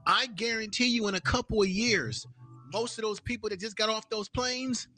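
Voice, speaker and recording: A man's voice: high voice, moderate pace, sharp tone, faint music behind. A man hosting a live stream, giving a heated, profane political rant. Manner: profane, angry, repetitive ranting.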